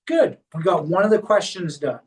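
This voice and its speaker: High-voiced man leading a clinic workshop. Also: big pitch swings, moderate pace, recorded from a distance. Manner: explains step by step while guiding a volunteer. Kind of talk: hands-on demonstration talk.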